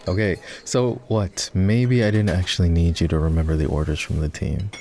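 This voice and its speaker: A man playing an ex-boyfriend turned boss in an intimate roleplay ASMR, talking in a halting, conversational way. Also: deep voice, steady tone, vocal fry, warm tone, a little hiss or hum. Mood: casual, teasing. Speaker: voice actor.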